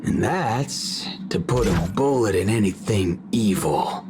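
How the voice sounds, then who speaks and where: An actor playing a folksy, cocky young cowboy gunslinger in a video game, with a Southern US drawl. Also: male voice, on the low side, expressive, slow pace. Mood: cocky, defiant. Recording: game music under the voice.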